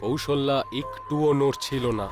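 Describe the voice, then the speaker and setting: Mid-pitched male horror storyteller over music. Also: unhurried. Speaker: narrator. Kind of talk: scripted horror story narration.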